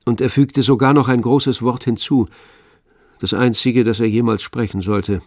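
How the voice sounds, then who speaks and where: A man narrating a tragic novel for a radio play in a measured, literary manner. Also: medium pitch, steady tone, a little mellow, no echo, natural voice. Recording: dry studio with faint music.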